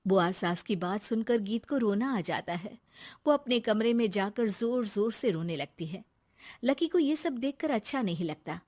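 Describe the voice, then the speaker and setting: A woman's voice: medium pitch, lively intonation, normal speed, darkish tone. A woman reading a scripted moral story as its narrator.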